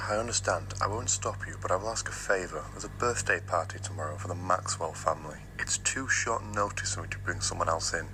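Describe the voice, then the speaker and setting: A man playing a persuasive, quietly manipulative aquarium manager in a horror web series, reassuring and coaxing. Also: sits low, fairly quick, background noise, natural voice.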